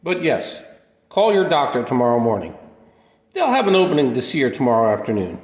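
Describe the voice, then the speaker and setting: An actor playing a polite, soft-spoken older gentleman with a gentle, formal manner in a radio play. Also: mid-range voice, big pitch swings, fairly quick, close to an everyday voice. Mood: gentle, insistent. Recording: echoey room with long reverb.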